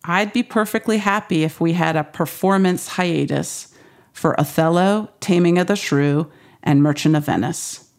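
A woman's voice: on the low side, normal speed. A woman with an American accent explaining things as an academic guest in an interview, emphatic and articulate.

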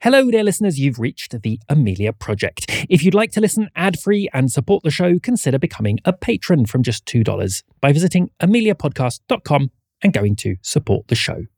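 Animated, higher-pitched male podcast announcer. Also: a little fast, breathy edge, dry recording. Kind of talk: scripted narration. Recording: dry studio sound.

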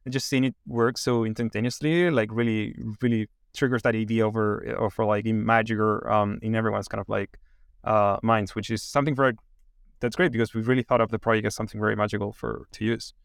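A man from Chile, in his thirties, talks through a software demo and his career in a loose, halting way, full of "like" and "um". His voice is smooth and clear, recorded close and dry with almost no room sound. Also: on the low side, fairly even intonation, no echo. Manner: halting, many fillers and restarts. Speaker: AI company founder giving a demo. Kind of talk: casual interview.

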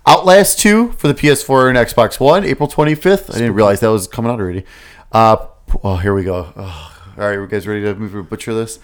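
A man co-hosting a gaming podcast, reading out release dates with quick asides and easy laughter in casual chat. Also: mid-range voice, smooth.

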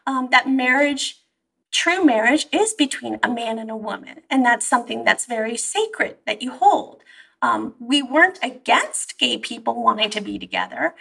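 Woman, husky and gravelly, animated storyteller, a bit far from the mic. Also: fairly high pitch, moderate pace. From Iran. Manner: animated storytelling, quoting herself and her mother. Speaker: therapist guest. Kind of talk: conversational interview.

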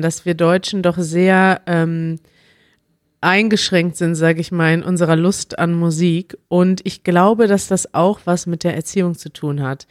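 A woman's voice: fairly low pitch, dry recording, clean studio sound. A woman co-hosting a podcast in casual chat, chatty and laughing often. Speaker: podcast co-host.

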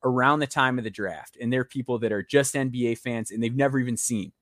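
A man's voice: mid-range voice, quick pace, a bit bright, no room sound. A young man hosting a basketball interview show, eager and talkative, often repeating words as he goes, over a video call. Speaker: podcast host.

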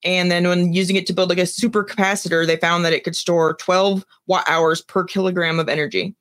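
A woman's voice: fairly low pitch, fairly even intonation, brisk, a bit bright. A woman co-hosting a podcast, explaining a story in an informal, chatty way. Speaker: podcast co-host. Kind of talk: casual podcast chat, explaining a news story.

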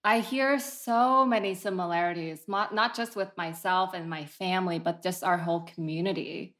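A therapist who was born in Vietnam, calmly explaining history and family trauma as an interview guest. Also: male voice, high-pitched, moderate pace. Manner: calm, explanatory.

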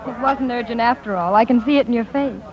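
A woman's voice: on the high side, quick pace, natural voice. Earnest woman acted on lo-fi old radio. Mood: earnest, nervous. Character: candid, gently firm young woman, editor's ex-wife. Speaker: radio drama actor. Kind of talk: 1950s radio drama acting. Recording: dull, lo-fi 1950s radio broadcast.

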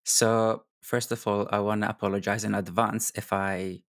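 A man who grew up in Kuwait, speaking as an interview guest. His voice sits low with a gravelly edge, and his English comes earnest and careful with small restarts. The sound is dry, with no room around it. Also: level tone, normal speed, fairly bright tone, no echo. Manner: earnest, with occasional restarts in a non-native English. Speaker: guest doctor. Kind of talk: casual interview talk.

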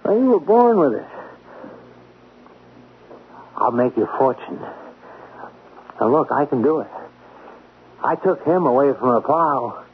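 A man's voice: medium pitch, animated, moderate pace, long pauses, slight background noise, close to an everyday voice. An actor playing a drunk, obsessive male talent manager in an old radio drama, pleading and intense. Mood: fervent. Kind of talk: 1940s radio acting. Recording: tinny old radio broadcast.

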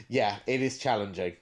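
A man with a British accent, chatting casually as a podcast co-host. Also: on the low side. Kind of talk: casual chat.

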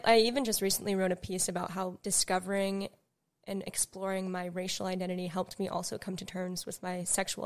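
A young woman from California speaks as a podcast guest, choosing her words in a thoughtful, measured way. Her voice sits at an everyday middle pitch. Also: a bit bright. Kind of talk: casual podcast conversation.

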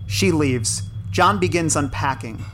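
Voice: medium pitch, expressive, unhurried, a bit bright, soft background music. A narrator reading scripted scene descriptions for an audio drama in present tense.

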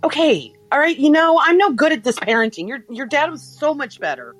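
A woman playing a flustered, apologetic but affectionate guardian of a teenager in an audio drama, casual and halting. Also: high voice, big pitch swings, soft background music. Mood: awkward, reproachful.

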